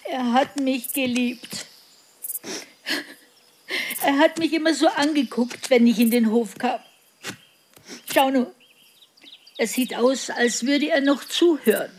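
Slow, high-voiced plaintive village woman. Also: a little mellow. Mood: mournful. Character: sentimental, superstitious village woman, neighbour of the widow. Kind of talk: radio drama acting.

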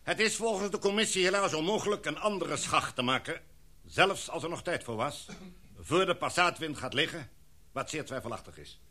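A man's voice: a little high, lively intonation, pushed and bright. Een man die in een hoorspel een formele, gezaghebbende expeditieleider speelt en afgemeten, redenaarsachtig spreekt. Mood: grave, formal. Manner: formal, oratorical, measured. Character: authoritative, formal expedition leader, a knighted gentleman. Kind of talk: radio drama acting.

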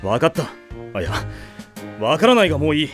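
A man's voice: on the high side, sing-song, fast talker, soft background music. A man voicing a level-headed but exasperated teenage team captain in an anime audio drama, firm and authoritative.

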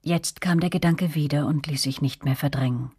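Serious lady narrator for radio drama, really low husky voice, dry sound, measured storytelling, looking back on girlhood. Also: dark tone, dry recording, exaggerated voice. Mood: uneasy. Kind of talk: literary first-person narration in radio drama.

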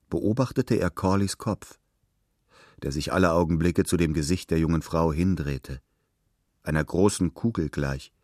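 Deep-voiced male literary narrator. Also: a little mellow, no room sound, clean studio sound. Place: dry studio.

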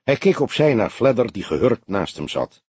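A man's voice: mid-range voice, very animated, normal speed, a little mellow, slightly rough, no echo. A man narrating an audiobook as scripted dramatic storytelling.

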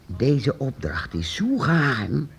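A man's voice: medium pitch, gravelly, full character voice. Een man speelt een geheimzinnige oude meester-uitvinder in een hoorspel, kalm en geruststellend. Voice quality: measured, reassuring, lively intonation. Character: secretive old master inventor, calm and fatherly. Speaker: master craftsman. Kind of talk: radio play acting.

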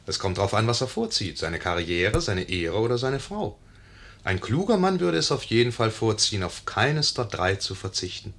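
A husky male voice with lively intonation as the narrator of a 1950s radio play. He muses wryly to his listeners, chatty and ironic. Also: fairly low pitch, normal speed, heavily acted. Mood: musing, amused. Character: wry, musing narrator addressing the audience.

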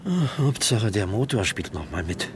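Lebhafter, spöttischer Privatdetektiv. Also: male voice, on the low side, sing-song, moderate pace, loud music behind the voice. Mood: dismissive. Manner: jocular, sardonic. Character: wry, bantering ex-policeman turned private investigator, fond of drink. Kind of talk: 1980s German radio crime drama acting.

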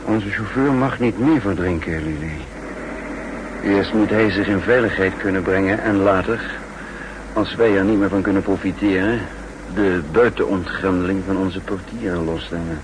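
A low-pitched actor's take on a male secret agent, cool and resourceful under threat. He explains patiently with dry wit, in 1960s radio drama style. The old recording is plainly noisy. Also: normal speed, lightly acted. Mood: calmly explaining. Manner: calm, wry, explaining patiently. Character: cool, resourceful captured male spy narrating his story.

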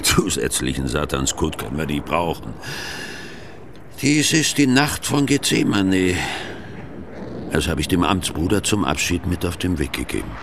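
A man's voice: sits low, sing-song, measured pace, creaky, noisy background, heavily acted. A man acting a sanctimonious, evasive church official in a radio drama, speaking in a formal, unctuous preacher's manner. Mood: solemn preaching.

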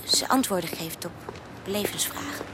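A woman playing a hesitant, earnest character in a radio drama, halting and searching for words, with breathy drops in her voice. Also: mid-range voice, expressive, natural voice. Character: hesitant, earnest woman recommending a spiritual helper. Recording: clear background ambience noise.

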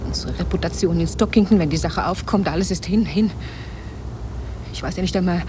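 A woman's voice: fairly low pitch, a little fast, close to an everyday voice. Distraught, accusing wife played by a woman, old noisy recording. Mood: anxious, pleading. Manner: agitated, insistent, pleading, emphatic. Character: distraught, accusing wife who discovers her husband's deception. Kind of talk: 1960 German radio play acting. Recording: noisy old radio drama cassette transfer.

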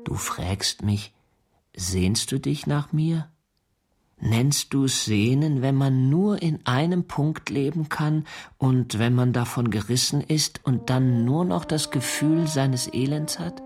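Brass music surrounds an anguished young man in a literary radio play. He recites slowly and broodingly, as if emptied of his soul, full of yearning. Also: mid-range voice, darkish tone, husky. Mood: yearning, pleading. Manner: slow, poetic, brooding recitation. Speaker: actor in an audio drama. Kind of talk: literary monologue over music. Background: brass and horn music.

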